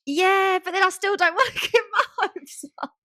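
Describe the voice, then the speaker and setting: A woman's voice: very high-pitched, normal speed, bright, forceful tone, dry recording. Candid young woman, very dry podcast sound. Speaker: podcast co-host. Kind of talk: casual chat.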